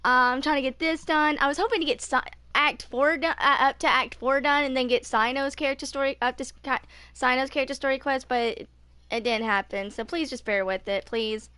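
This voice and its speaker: Casting: woman in her twenties, high bright voice, halting casual streamer chat. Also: fairly even intonation, clean tone, no echo. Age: 20s. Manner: halting, repeats herself.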